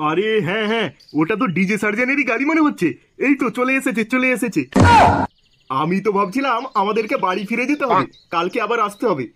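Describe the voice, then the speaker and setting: Man doing a brash, high-pitched Bengali village lad. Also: lively intonation, fast talker, pushed and bright. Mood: excited. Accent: West Bengal. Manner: blunt, colloquial, exclamatory. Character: brash, scolding young village man, know-it-all older friend. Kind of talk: comedy cartoon dialogue. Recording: clearly noisy track with clicks.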